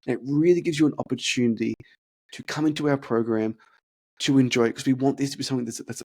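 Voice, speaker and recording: A man's voice: medium pitch, darkish tone. Host type: man, sales coach, stammers through repeats, ordinary mid-range voice. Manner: talks through deliberate stutters and repeated words. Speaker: fitness business consultant. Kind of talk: casual podcast chat, role-playing sales calls.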